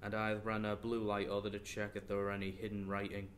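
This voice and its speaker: Audio drama companion role, male actor: earnest investigator, easily exasperated, fairly low pitch, lightly noisy recording. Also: monotone, quick pace, close to an everyday voice. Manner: indignant, questioning.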